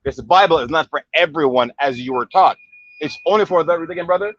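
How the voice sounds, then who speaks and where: A man preaches on a city street, pushing his points hard and checking in with "okay?" after each one. His voice sits a little high and comes out husky. The recording is dull and lo-fi. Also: quick pace, a bit bright. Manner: insistent, repeats "okay" and "right?".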